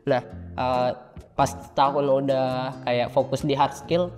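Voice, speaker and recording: A young male student guest answers in a casual, explanatory way, feeling for his words. His voice is mid-pitched. The space is reverberant, with soft music underneath. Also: little pitch movement, takes it slowly. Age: 20s. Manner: informal, explanatory, hesitant phrasing with frequent agreement. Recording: reverberant room with faint background music. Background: faint music.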